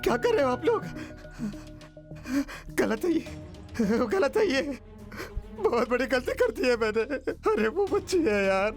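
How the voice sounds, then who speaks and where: Actor as guilt-ridden man pleading, high creaky voice. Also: sing-song, darkish tone, soft background music. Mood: desperate pleading. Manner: pleading, repetitive, halting. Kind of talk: emotional film-style drama acting.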